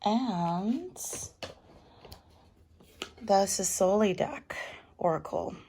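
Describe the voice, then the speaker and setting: A middle-aged woman with a cold and a raspy voice, chatting casually as she gives a tarot reading at home. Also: medium pitch, expressive, drawn-out words, slight background noise. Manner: chatty, warm advice with fillers. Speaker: tarot reader.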